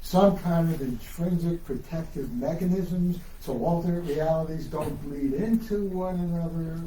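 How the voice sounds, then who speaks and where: A man's voice: fairly high pitch, unhurried, mellow, natural voice. A man playing an anxious but consoling hero in a live dramatic reading of a novel. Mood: baffled, dismayed. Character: Pete, anxious, consoling man trying to save history. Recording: roomy, distant mic, faint noise.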